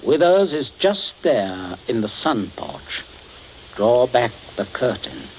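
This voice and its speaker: Coolly menacing patriarch, clipped and courteous, lively intonation, vintage muffled radio sound. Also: male voice, mid-range voice, slow. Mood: cold, commanding. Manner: clipped, commanding, blandly courteous while threatening. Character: coolly menacing, domineering father of a genteel household. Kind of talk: 1940s radio acting. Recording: muffled, hissy 1940s radio broadcast.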